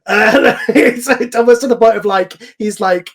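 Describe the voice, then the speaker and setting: A man's voice: very high-pitched, normal speed. A man hosting a casual online group chat, rambling informally and swearing now and then. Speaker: host and series creator. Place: online voice call.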